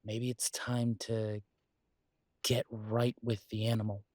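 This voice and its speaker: A man playing a conflicted, protective werewolf pack leader in an intimate audio roleplay, speaking hesitantly and reflectively with halting pauses. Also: fairly low pitch, little pitch movement, darkish tone, no room sound, studio quality.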